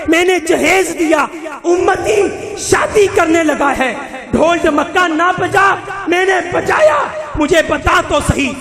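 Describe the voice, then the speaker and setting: A male preacher with a Pakistani Punjabi accent delivers a fervent sermon in an extremely high-pitched voice. His delivery is declamatory, built on repeated refrains and rhetorical questions. The sound rings through a reverberant hall, with a crowd audible. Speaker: Islamic preacher. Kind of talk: impassioned religious sermon to a congregation.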